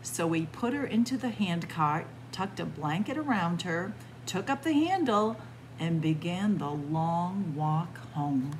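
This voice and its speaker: A woman reading a picture book aloud as a library story time host, expressive and pausing deliberately between phrases. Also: fairly low pitch, slight background noise, rough recording. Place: indoors in a library, among bookshelves.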